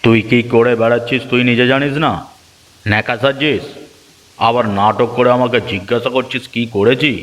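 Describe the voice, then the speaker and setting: A man's voice: mid-range voice, fairly even intonation, normal speed, a bit bright, big-room sound, close to an everyday voice. A man voicing a miserly, bossy old grandfather in a cartoon story, berating and scornful, over music. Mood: angry, scornful. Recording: background music.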